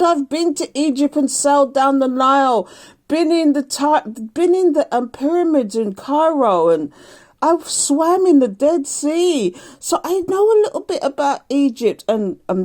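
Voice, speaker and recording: A middle-aged woman a croaky voice giving a casual daily tarot reading, chatty and laughing often. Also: high-pitched, darkish tone. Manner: chatty, laughs often, reads aloud from a card booklet. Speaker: tarot reader.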